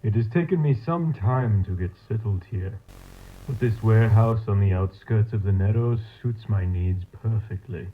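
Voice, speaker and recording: An actor playing a coldly clinical mad scientist, dictating experiment logs in a formal, measured way for an analog horror audio drama. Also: male voice, fairly low pitch, a little hiss or hum, lightly acted. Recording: tinny, phone-like tape log sound.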